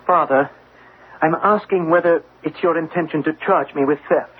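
A man's voice: a little high, moderate pace, close to an everyday voice. An actor playing a proud, wounded young man in a classic radio drama, with an earnest, formal, indignant delivery. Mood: urgent, wounded. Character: proud, wounded, defiant young adult son. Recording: tinny, noisy old radio recording.

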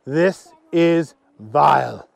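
A man's voice: a little high, extremely slow, vocal fry, dry recording, full character voice. A man playing an exasperated, squeamish father in a children's story, incredulous and emphatic. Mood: disgusted. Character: exasperated, easily disgusted human father.

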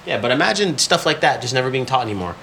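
A man co-hosting a podcast, giving his opinions in a casual but measured, agreeable way. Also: medium pitch, sing-song, bright, forceful tone. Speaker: podcast co-host. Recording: faint background noise.

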